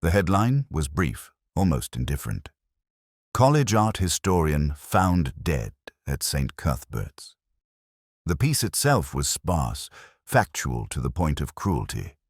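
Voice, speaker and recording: A melancholy older English detective , played by a man, recounts a case in the style of a radio drama. The voice is extremely deep and moves expressively through measured, brooding literary narration. The sound is dry, with very little room around it. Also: big pitch swings, slightly rough, dry recording, heavily acted. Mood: brooding, reflective.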